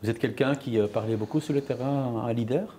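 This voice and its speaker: A male sports interviewer with a mid-pitched, mellow voice puts short, direct questions to his guest. He follows up on answers and presses for detail. Faint hiss sits behind him. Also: fairly quick.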